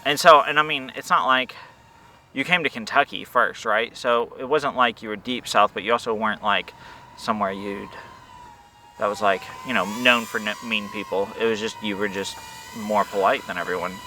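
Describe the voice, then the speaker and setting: A man's voice: on the low side, steady tone, normal speed, pushed and bright, slight background noise. A man hosting a casual outdoor interview while flying a drone, upbeat and playful with jokes. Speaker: host. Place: outdoors at a park playground.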